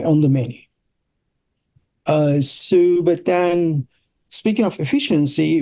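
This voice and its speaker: A middle-aged man with a Turkish accent, telling stories casually as an interview guest, often halting and searching for words. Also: a little high, vocal fry, darkish tone, dry recording. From Turkey. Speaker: interview guest, product designer. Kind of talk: casual conversational storytelling.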